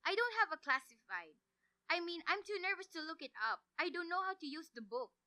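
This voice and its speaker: A young woman playing a frantic, imperious bedridden wife in a radio thriller, with a shrill, agitated, indignant delivery. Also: big pitch swings, a little fast, pushed and bright, no room sound, clean studio sound, full character voice. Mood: anxious, demanding. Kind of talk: 1940s radio thriller acting.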